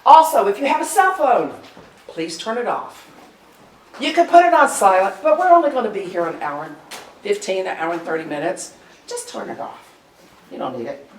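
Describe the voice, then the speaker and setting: A woman's voice: medium pitch, big pitch swings, moderate pace. A woman giving an informal, chatty pre-show welcome to a live audience, with joking asides as presenter. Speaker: presenter introducing a staged reading. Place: indoor arts venue, before a live audience. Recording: roomy, distant live-event recording with audience noise.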